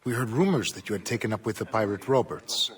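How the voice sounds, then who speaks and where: A male actor playing a smug villain in a game, taunting a prisoner in a smooth, insinuating manner. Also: lowish voice, animated, fast talker, lightly acted. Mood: smug, needling.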